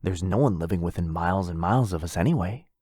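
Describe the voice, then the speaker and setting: A man's voice: deep voice, fast, warm tone, breathy edge, no room sound, studio quality. A man playing a lonely, possessive, rich vampire brother in a boyfriend ASMR roleplay, insistent and coaxing. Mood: eager, inviting.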